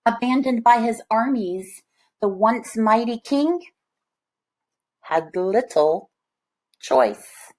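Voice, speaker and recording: A woman's voice: medium pitch, darkish tone, poor audio. A woman reading a children's picture book aloud, expressively, with chatty asides to young listeners. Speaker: storybook reader.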